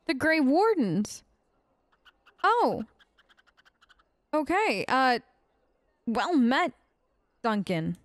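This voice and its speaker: A woman's voice: high-pitched, big pitch swings, extremely slow, pauses often, no room sound, studio quality. A woman hosting a game let's play, improvising in character in a casual, polite and hesitant way. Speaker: gaming video host.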